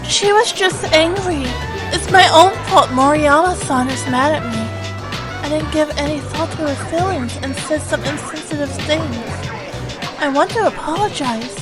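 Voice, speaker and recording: A woman playing a meek, anxious, soft-spoken high school girl in a fan audio drama, earnest and timid. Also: moderate pace, music in the background, natural voice. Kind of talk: fan audio drama, anime game adaptation.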